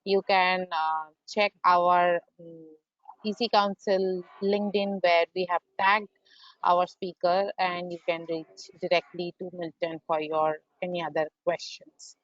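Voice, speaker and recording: A woman's voice: lowish voice, level tone, a little slow, fairly bright tone, dry recording, muffled recording. A woman hosting a webinar, politely wrapping up and making announcements over a video call. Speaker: webinar moderator.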